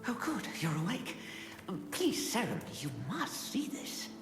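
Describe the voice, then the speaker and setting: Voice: mid-range voice, very animated, a little slow, in a large hall. An actor voicing a nervous, apologetic scholar who stammers and hesitates, over game music.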